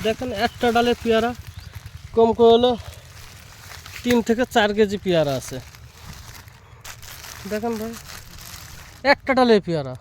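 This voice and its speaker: High-pitched man presenting an outdoor vlog. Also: expressive, a little slow, long pauses, a little mellow. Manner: repetitive, addressing viewers directly. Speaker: vlogger, host. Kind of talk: informal vlog presenting to viewers. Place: outdoors in a guava orchard. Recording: outdoor recording with faint background noise.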